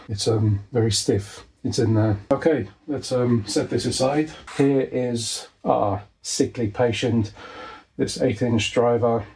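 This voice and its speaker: A man's voice: medium pitch, darkish tone. A man hosting a hands-on repair tutorial, talking casually and with dry humour as he works indoors at a desk. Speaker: host of a repair video. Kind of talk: casual hands-on tutorial commentary while working. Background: clicks and handling noise of tools.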